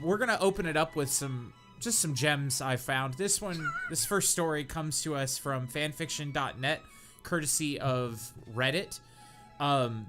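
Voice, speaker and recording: A man's voice: a little high, a bit bright. A young man co-hosting a comedy podcast, reading bad fan fiction aloud with mock-dramatic flair.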